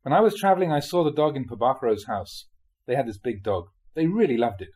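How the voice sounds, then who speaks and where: A male reader delivers a spiritual teaching in an explanatory, measured manner. His mid-pitched voice has a husky grain and moves expressively up and down as he sets out questions and explanations. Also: expressive, darkish tone. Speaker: monk reading dharma talks aloud. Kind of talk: reading a spiritual talk aloud.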